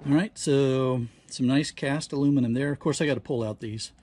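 A man giving casual hands-on demonstration commentary, halting and thinking aloud as he works at a workbench. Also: medium pitch, a little mellow. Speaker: host of a scrapping how-to channel. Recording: clear background noise, handling clicks.

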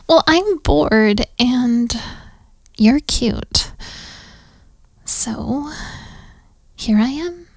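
Bratty princess, twenties, female actor, playful and flirty, very slow delivery, close dry sound, intimate audio roleplay. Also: a little high, lively intonation, dry recording, heavily acted. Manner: teasing, giggly, casual modern phrasing, dropping into whispers.

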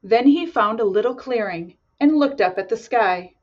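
A woman, reading a children's picture book aloud expressively and deliberately. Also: fairly high pitch, animated, dull audio. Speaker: volunteer storybook reader. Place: small office indoors.

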